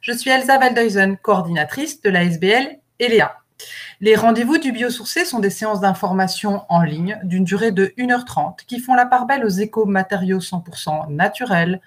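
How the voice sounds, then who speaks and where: A woman, coordinator of an association, introducing an online information session and explaining how it works in a clear, orderly way. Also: medium pitch. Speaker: webinar host, association coordinator. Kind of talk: scripted webinar introduction.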